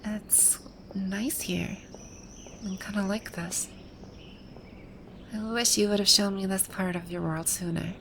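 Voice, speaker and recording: Woman acting a haughty, unhurried female villain boss. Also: medium pitch, steady tone, noisy background. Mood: musing, grouchy. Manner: imperious, formal, tsundere grumbling. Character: haughty, grouchy female video game villain boss, secretly soft. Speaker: audio roleplay voice actor. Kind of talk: ASMR roleplay acting.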